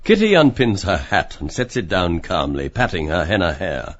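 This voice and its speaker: A man's voice: low voice, sing-song, moderate pace, highs cut off. A man narrating stage directions for an audiobook in a scripted reading style.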